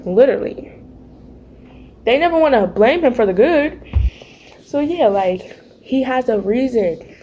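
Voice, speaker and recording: A raspy-voiced teenage girl talking casually about her faith at home, chatty and playful, calling her viewers y'all and baby. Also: on the high side, expressive, a little slow, pauses often, dark tone, dull audio, slight background noise. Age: teens. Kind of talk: casual faith vlog chat. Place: home, early morning.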